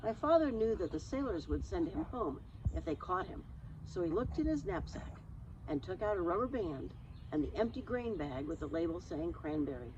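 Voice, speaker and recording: A woman reading a children's story aloud in an expressive, chatty manner, slipping in casual asides and simple explanations. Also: lowish voice, moderate pace, dull audio, background noise.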